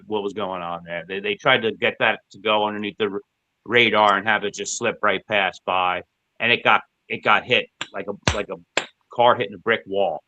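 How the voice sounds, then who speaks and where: A man with a bright, forceful voice recorded close and dry, with no room sound. He speaks emphatically and with animation about meetings and officials. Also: on the low side, no echo, rough recording. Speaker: activist. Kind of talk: casual chat.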